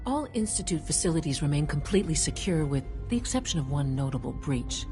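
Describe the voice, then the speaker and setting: A woman playing a brisk, official department head in a science-fiction video game, formal and clipped in delivery. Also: low-pitched, sing-song, over music. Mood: businesslike. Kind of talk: video game dialogue acting.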